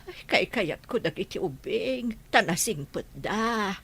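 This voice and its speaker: A woman's voice: medium pitch, lively intonation, measured pace, gravelly, a bit bright, breathy edge, full character voice. An actress in a radio drama playing a distressed, insistent woman complaining to the police. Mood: pleading. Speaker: actor in a radio comedy drama. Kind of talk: Ilocano radio drama acting.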